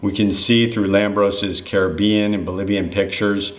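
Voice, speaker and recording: A man reading a written tribute essay in a measured narrator's role. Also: fairly low pitch, flat delivery.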